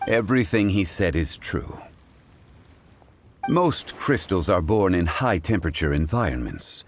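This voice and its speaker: A man voicing a composed, learned gentleman consultant in a video game, formal and deliberate. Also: deep voice, very animated, slight background noise, full character voice. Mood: assured. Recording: game music behind the voice. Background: game music.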